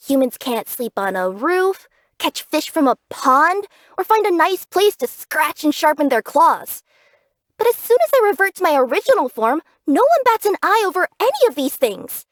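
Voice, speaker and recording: Game role: young cat yokai delivery girl, high-pitched, bubbly, curious and earnest, very dry close studio sound. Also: dry recording. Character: cheerful young female cat yokai package courier. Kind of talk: English video game character voice acting.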